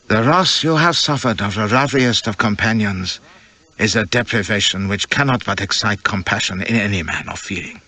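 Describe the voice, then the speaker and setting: A male narrator plays a tall, suave Chinese gentleman from a storybook. He is exquisitely polite and humble, with measured formal phrasing that rises and falls widely in a lowish, closely recorded voice. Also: slightly rough, no echo, full character voice. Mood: solemn, sympathetic. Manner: elaborately polite, formal, self-deprecating, measured. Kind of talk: audiobook character dialogue.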